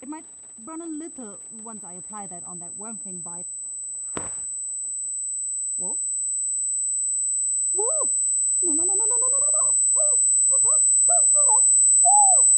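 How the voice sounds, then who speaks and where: A woman's voice: very high-pitched, big pitch swings, unhurried, long pauses, warm tone, slight background noise, exaggerated voice. A woman voicing a caring, easily flustered young forest witch in an ASMR fantasy roleplay, speaking in hesitant, blurting phrases. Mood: panicked.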